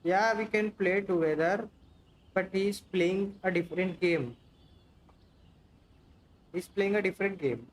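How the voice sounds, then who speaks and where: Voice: mid-range voice, unhurried, long pauses, low-quality recording. A livestreamer, chatting casually with viewers during a game stream with music behind. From India.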